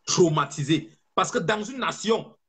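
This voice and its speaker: A man giving an impassioned political speech as an activist, fervent and preaching, stressing slow phrases. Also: sits high, animated, fairly bright tone, husky.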